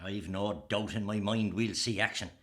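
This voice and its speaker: Man playing a forceful, husky Irish rebel commander. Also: on the low side, steady tone, normal speed. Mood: tense, resolute. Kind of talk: radio play acting.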